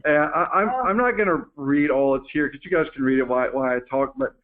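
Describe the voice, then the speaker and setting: A man's voice: medium pitch, expressive, fast. A man speaking as a company executive on a staff call, presenting informally and candidly while reading and answering questions. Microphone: conference call phone line. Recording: muffled narrow phone conference line.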